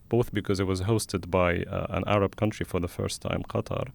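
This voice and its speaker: Low-pitched man hosting, with gravelly fry. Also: darkish tone. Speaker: podcast host and interviewer. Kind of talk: conversational interview with hesitant, thoughtful phrasing.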